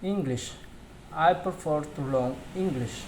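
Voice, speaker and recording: A man whose first language is Spanish reads English practice drills aloud, carefully and very slowly. His voice sits somewhat high. A faint hiss runs behind him. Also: a little mellow, rough recording. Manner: slow, careful practice reading with slips. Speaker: English learner.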